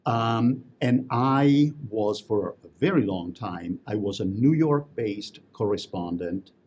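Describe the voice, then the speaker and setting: A man's voice: on the low side, expressive, slow pace, darkish tone, breathy edge, muffled recording. A man talking as an interview guest, telling stories in a chatty way with many fillers and restarts. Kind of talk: casual interview conversation.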